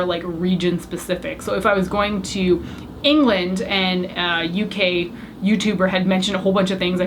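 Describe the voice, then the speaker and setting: A young woman speaks straight to her camera in a candid, chatty way. French was her first language, though she talks easily in English now. Her voice sits fairly low, with a faint hum of room ambience underneath. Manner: chatty, candid, self-deprecating. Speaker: beauty YouTuber. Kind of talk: casual Q&A tag video.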